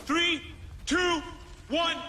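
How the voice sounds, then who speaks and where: A man's voice: steady tone, extremely slow, heavily acted. A young man acting a frightened but determined brother in a military shooter game. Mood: urgent, straining. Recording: loud rumbling disaster noise behind.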